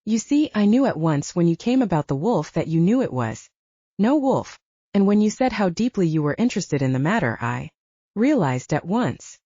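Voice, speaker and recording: A woman's voice: fairly low pitch, big pitch swings, moderate pace, dark tone, dry recording. A woman voicing a grandiose, effusive male scientist and gourmet in an audiobook, with a pompous, oratorical manner. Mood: apologetic, chatty. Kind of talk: audiobook character voice. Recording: dull, muffled dry audio.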